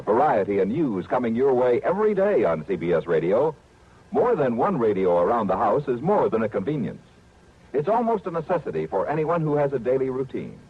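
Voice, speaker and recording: A man's voice: medium pitch, husky. An American man reading 1950s radio promotional copy in a polished, salesman-like announcer's style. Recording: thin, phone-like vintage radio recording.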